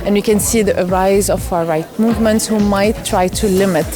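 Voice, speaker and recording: A woman child rights advocate giving an earnest, measured interview statement. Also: medium pitch, fairly even intonation, over music.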